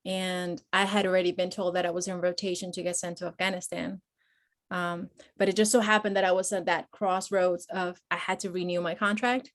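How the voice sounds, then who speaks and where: A woman in her forties, born in Peru, talking as a podcast guest about her life, with many pauses and fillers. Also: lowish voice, flat delivery. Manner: hesitant, many fillers, speaks openly and personally. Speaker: guest, Marine veteran and nonprofit founder. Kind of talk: conversational podcast interview.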